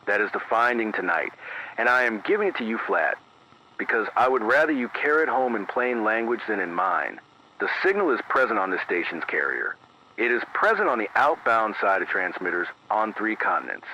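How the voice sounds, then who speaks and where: A man playing a careful, weary emergency radio announcer, reading formal bulletins in a measured, deliberate way through an AM radio filter. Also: lowish voice, big pitch swings, bright, forceful tone, a little hiss or hum, rough recording. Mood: weary, solemn. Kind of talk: scripted emergency bulletin, analog horror radio drama.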